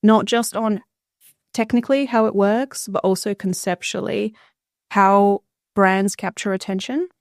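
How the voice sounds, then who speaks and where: A woman's voice: mid-range voice, fairly even intonation, a little slow, creaky, dry recording, clean studio sound. A woman, an articulate podcast guest telling a personal story conversationally and fluently. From Australia, born in Bulgaria.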